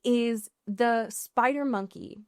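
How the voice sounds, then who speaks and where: A woman's voice: a little high, takes it slowly, no room sound, studio quality. A woman co-hosting a podcast in casual chat, slangy and emphatic, with plenty of swearing. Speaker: podcast co-host.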